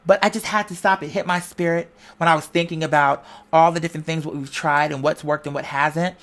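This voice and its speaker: A woman's voice: sits low, steady tone, brisk. A woman vlogger delivering an informal motivational monologue, conversational and slangy, with emphatic, preacher-like repetition. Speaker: online video creator giving a pep talk.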